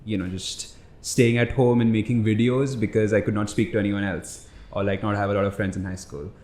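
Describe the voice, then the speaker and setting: A man's voice: lowish voice, steady tone, brisk, darkish tone. A young man who grew up in India, a startup founder guesting on a podcast, telling loose reflective stories with lots of "like" and "you know". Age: young adult. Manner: reflective, loose storytelling with many fillers. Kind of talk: casual podcast conversation. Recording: roomy echo.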